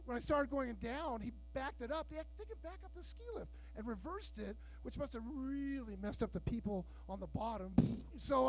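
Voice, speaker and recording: Brief: fifties male stand-up, very high pitch, brash and crude storyteller, plays all the dialogue himself. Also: rough recording. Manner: loud, bawdy storytelling with acted-out dialogue. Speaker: stand-up comedian. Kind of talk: stand-up comedy set. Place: live show room with audience.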